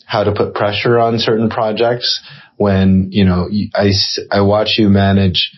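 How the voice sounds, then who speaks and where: A man's voice: on the low side, flat delivery, measured pace, dull audio. A man hosting a casual podcast interview, encouraging his guest and summing up what she says. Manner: encouraging, affirming, sums up what he hears. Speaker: podcast host.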